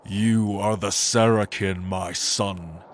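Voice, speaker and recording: A man's voice: on the low side, fairly even intonation, slow, a little hiss or hum. An actor playing a cold, manipulative angel lord and father in a fantasy audio drama, speaking in a grandiose, condescending way. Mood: triumphant.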